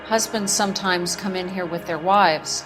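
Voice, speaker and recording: An actress playing a composed, businesslike dress shop manager in a video game, polite and measured. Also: female voice, on the low side, natural voice. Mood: cool, disapproving. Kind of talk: video game cutscene acting. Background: faint music.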